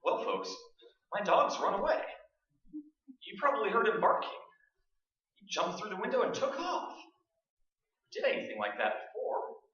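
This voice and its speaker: A man in a staged radio play, playing a brash, skeptical, excitable radio host narrating a ghost hunt aloud. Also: sits high, unhurried, pauses often, full character voice. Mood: uneasy. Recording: roomy stage recording, distant, dull.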